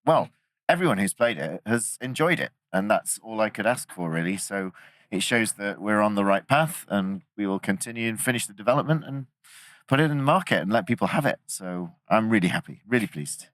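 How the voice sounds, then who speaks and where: A man's voice: on the low side, animated, fairly quick, fairly bright tone, no echo. A man talking about his product in casual, upbeat conversation, often laughing. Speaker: guest.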